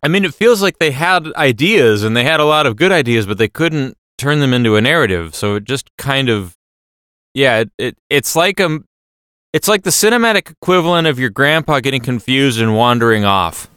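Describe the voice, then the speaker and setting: A man on a podcast panel giving a casual film review in a halting, rambling way. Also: medium pitch, expressive, fairly bright tone, smooth, no room sound, studio quality.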